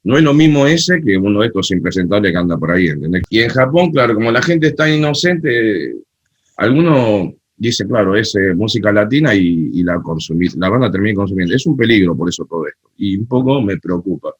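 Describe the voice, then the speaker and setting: A man with an Argentine accent, a guest telling animated, opinionated stories in a casual interview chat. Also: on the low side, a little fast, slightly rough, dry recording, muffled recording. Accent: Argentine Spanish. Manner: animated storyteller, frank and opinionated. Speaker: guest, cumbia festival creator.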